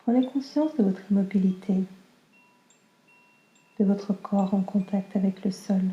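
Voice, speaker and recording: A woman guiding a slow relaxation meditation in a calm, soothing, soft and breathy voice that often nears a whisper. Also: mid-range voice, reverberant, dull audio. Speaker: yoga nidra guide.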